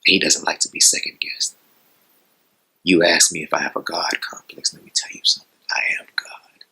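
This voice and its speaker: A male actor performs an arrogant surgeon in a dramatic monologue. His fairly low voice is hard and forceful, full of contempt and self-importance. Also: fairly even intonation, normal speed, vocal fry, breathy edge, whispered, full character voice, rough recording. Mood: arrogant, intense.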